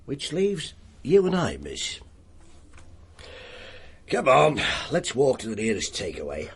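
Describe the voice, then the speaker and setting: Sardonic police inspector with swooping delivery. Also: male voice, medium pitch, wildly swooping pitch, a little hiss or hum, full character voice. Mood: measured, dry. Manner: deliberate, sardonic, lecturing. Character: gruff, wry, commanding male police inspector. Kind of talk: radio drama acting.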